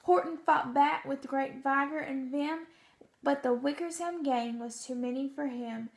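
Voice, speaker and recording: A woman with a high-pitched voice and a strong creak reads a storybook aloud. She narrates for young children and checks in with them with questions. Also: steady tone, measured pace.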